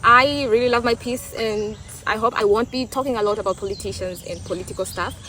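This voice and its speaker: Young tanzanian woman, fairly high voice, swahili speaker, thoughtful and repeats words, faint outdoor noise, roosters. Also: brisk, pushed and bright. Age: young adult. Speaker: vlogger. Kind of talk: casual opinion talk.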